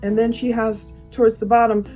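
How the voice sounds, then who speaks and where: A woman with a fairly high voice delivers true crime commentary over faint music. She sounds conversational and casual, dropping in her own opinions and asides as she goes. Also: little pitch movement, fairly quick, mellow.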